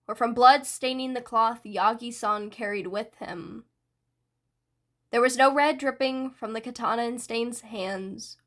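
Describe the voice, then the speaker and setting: Slow, soft-spoken woman reading fanfiction. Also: a little high. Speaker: fanfiction reader. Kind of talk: audiobook narration.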